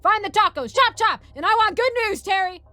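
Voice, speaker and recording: A woman's voice: high-pitched, normal speed, a bit bright, dry recording, voice pushed up. A woman voicing a bossy, hot-tempered male space sergeant who barks orders in a comic story. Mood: furious, barking. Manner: barking drill-sergeant commands.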